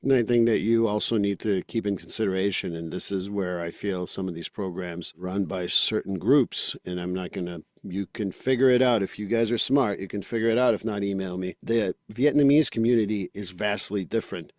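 A man's voice: on the low side, brisk, hardly any pauses, no room sound, clean studio sound. A man from Quebec with a French first language and a Quebec French accent, hosting a podcast in a blunt, joking, opinionated way. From Quebec, Canada. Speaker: podcast host. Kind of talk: casual podcast conversation.

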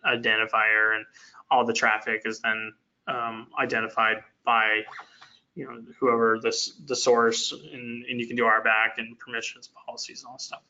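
A man's voice: medium pitch, pushed and bright. A man answering technical questions in a web meeting, rambling with many fillers and restarts. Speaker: software engineer presenting a product. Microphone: video call. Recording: dull lo-fi web meeting audio.

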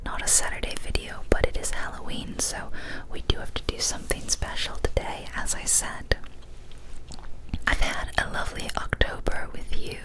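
A young woman whispering softly and breathily, gently and soothingly, close to the microphone in an ASMR style. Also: low-quality recording. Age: young adult. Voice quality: soft, breathy unvoiced whisper. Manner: slow, soothing, gentle delivery. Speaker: ASMR host. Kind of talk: ASMR whispered story reading and chat. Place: small decorated room indoors. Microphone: close studio microphone on an arm.

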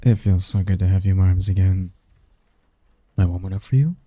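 A man playing a possessive, teasing sleep demon lover in an intimate ASMR roleplay, speaking softly in formal, flirtatious phrases. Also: low voice, a little fast, dry recording. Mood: affectionate. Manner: flirtatious, formal wording, soft intimate delivery, chuckling.

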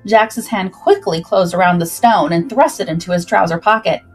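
A young woman reading a scripted story aloud in a dramatic, expressive way. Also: on the low side, moderate pace, breathy edge. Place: indoors at home, on webcam. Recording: music behind the voice.

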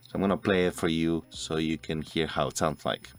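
A man's voice: extremely low pitch, fairly even intonation, brisk. A man in his forties narrating a video editing tutorial step by step as host. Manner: step-by-step, instructional. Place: indoor room at a computer. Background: music.

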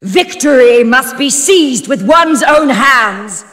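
An actress playing a proud, fierce goddess of war and ice in a fantasy video game, declaiming in a grand, commanding manner. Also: female voice, high-pitched, lively intonation, takes it slowly, a bit bright, full character voice. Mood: fierce, exultant. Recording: long reverberant hall effect.

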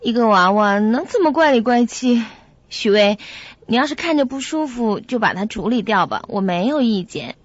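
A woman's voice: a little high, expressive, normal speed, dry recording. A woman playing a fashionable, carefree young office secretary in a radio drama, breezy and colloquial. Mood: sleepy, dismissive. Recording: dull lo-fi audio.

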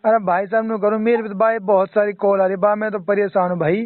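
A man's voice: high voice, little pitch movement, fairly quick, no room sound. A man with a Mewati accent chatting casually and emphatically on a recorded phone call, sounding exasperated.

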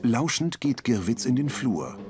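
A man's voice: medium pitch, big pitch swings, a little slow, over loud music. A man reading scripted audio description, narrating scenes in the present tense.

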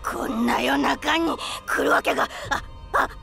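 A woman's voice: unhurried, pushed and bright, heavily acted. A woman playing a terrified, ranting old villager with a Mie dialect in a voice comic, wailing and screaming in panic. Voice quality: creaky, shrill. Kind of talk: voice comic acting. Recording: music behind the voice.

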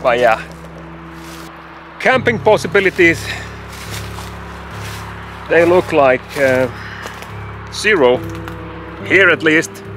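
Slow-talking man vlogging outdoors at arm's length. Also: a little high, expressive, fairly bright tone, soft background music. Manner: conversational storytelling with fillers, spooky asides. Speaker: hiking vlogger. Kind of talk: outdoor vlog talking to camera. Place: outdoors in woods by a road and railway. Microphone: handheld camera at arm's length.

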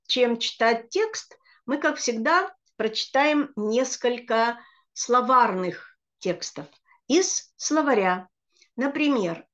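A middle-aged woman teacher speaking slowly and patiently, her voice set a little high. She walks children through each word. The sound is dull and lo-fi, as on a video call. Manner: slow, patient, explaining word by word. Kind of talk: online children's Hebrew reading lesson.

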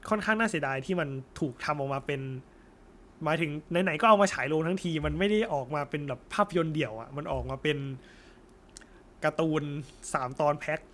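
Young guy, pretty high voice, informal anime chat with the odd swear. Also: fairly quick, sharp tone, a little hiss or hum. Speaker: anime discussion co-host. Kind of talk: casual post-film chat.

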